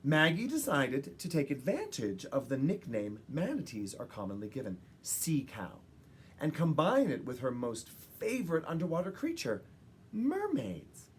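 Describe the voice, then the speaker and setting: Expressive woman reading a children's story, slightly husky. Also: deep voice. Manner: dramatic pauses and emphasis for young listeners. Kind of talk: expressive picture-book read-aloud.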